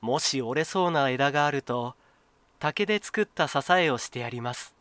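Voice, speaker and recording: A man reading a children's folktale as a storyteller, in an expressive, clearly phrased scripted style. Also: medium pitch, normal speed, no room sound.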